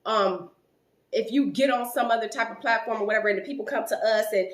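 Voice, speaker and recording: A woman in her late thirties telling a long personal story to her viewers in a casual, animated way. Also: on the high side, fast, roomy sound. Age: 30s. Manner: animated, rising loud when quoting an argument.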